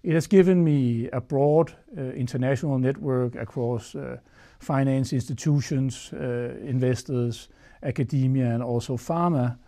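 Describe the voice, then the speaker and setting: Man, 40s, mellow, earnest, frequent fillers, lapel mic. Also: medium pitch, moderate pace. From Denmark. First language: Danish. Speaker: chief executive. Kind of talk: prepared corporate address. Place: studio against a dark backdrop.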